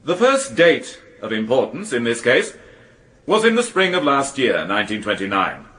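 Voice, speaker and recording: An actor playing a stern English prosecution barrister, speaking with formal, emphatic courtroom rhetoric in a radio drama. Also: male voice, mid-range voice, big pitch swings, normal speed, fairly bright tone. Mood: severe, condemning. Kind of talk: radio crime drama acting.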